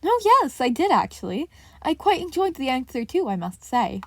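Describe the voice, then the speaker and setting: Casting: exacting, commanding veteran male hero teacher for story podcast, sharp delivery woman performs the role. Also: sits high, very animated, normal speed, dry recording, voice pushed up, exaggerated voice. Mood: cheerful teasing. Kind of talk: audiobook-style fan fiction character reading.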